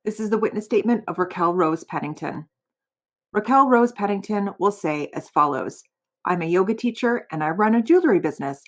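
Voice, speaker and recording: A woman's voice: mid-range voice, lively intonation, a little fast. A woman doing a voiceover, reading a formal legal witness statement aloud. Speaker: voiceover reader.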